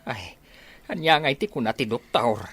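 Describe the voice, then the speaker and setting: A man playing a gentle, anxious old grandfather in a Filipino-accented radio drama. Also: medium pitch, big pitch swings, moderate pace. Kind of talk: Philippine radio drama acting.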